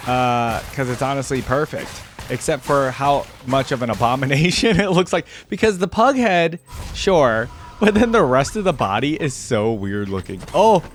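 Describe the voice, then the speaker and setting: A man's voice: mid-range voice, expressive, normal speed, a little hiss or hum. A young man hosting a casual reaction video, thinking aloud as he watches, with frequent exclamations and laughs.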